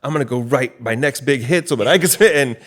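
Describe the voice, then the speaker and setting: A man's voice: mid-range voice, fast talker, a bit bright. A man hosting a casual interview, speaking in an enthusiastic, conversational way. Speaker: podcast host and voice actor.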